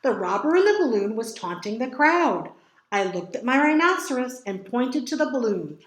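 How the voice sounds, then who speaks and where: A woman reading picture books aloud to children as a storytime reader, with dramatic emphasis and drawn-out words. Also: fairly high pitch, sing-song, echoey room. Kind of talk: expressive picture book read-aloud for children.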